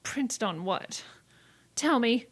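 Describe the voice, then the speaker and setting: A woman's voice: fairly high pitch, expressive, slow pace. A woman playing a prim, anxious newspaper secretary in an old-time radio drama. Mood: anxious, shocked.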